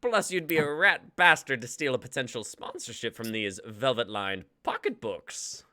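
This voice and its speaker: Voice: mid-range voice, moderate pace, sharp tone, natural voice. A performer playing a petty, threatening TV stage assistant in a comedic live reading, with a sassy manner. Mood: scolding. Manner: sassy, grudge-holding.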